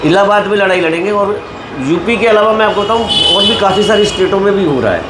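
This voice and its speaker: A man's voice: high-pitched, expressive, fast. A man speaking as an association leader in an interview, earnestly appealing to members and repeating points for emphasis. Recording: echoey room with background noise.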